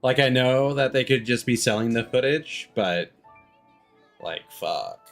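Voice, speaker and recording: A man's voice: mid-range voice, lively intonation, a little slow, a bit bright, faint music behind. A man giving casual, rambling game stream commentary, often correcting himself and swearing freely.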